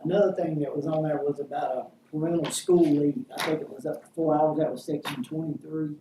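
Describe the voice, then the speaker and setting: A man's voice: a little high, steady tone, fairly quick. A man taking part in an informal meeting, speaking casually and a little tentatively. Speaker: meeting participant. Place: meeting room, picked up from a distance. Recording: echoey room, distant mic, clear noise.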